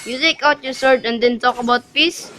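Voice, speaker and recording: A man's voice: extremely high pitch, fairly even intonation, normal speed, sharp tone. A man playing a menacing, hot-tempered young villain who taunts his foes, in a noisy amateur student radio drama recording. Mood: threatening.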